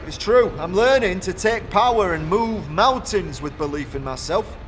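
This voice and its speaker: A man voicing a gruff, self-important, defensive foundry foreman with rustic, blustering speech in a fantasy audio drama. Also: high-pitched, animated, moderate pace, slight background noise, pitched above the performer's own voice. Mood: pompous. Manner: rustic dialect grammar, blustering, self-justifying.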